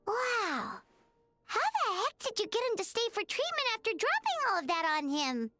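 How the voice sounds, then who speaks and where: A woman voicing a tiny, childlike fairy companion in a video game, excitable and blunt in manner. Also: extremely high pitch, normal speed, no room sound, soft background music, heavily acted. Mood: amazed. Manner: excitable, exclamatory, speaks of others casually. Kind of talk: cartoonish video game voice acting.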